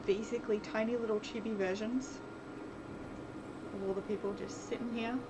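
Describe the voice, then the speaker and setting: A woman streamer and game developer chatting casually on a livestream, thinking aloud in a rambling way with soft laughs. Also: mid-range voice, flat delivery, normal speed. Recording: loud background noise, vehicle sounds.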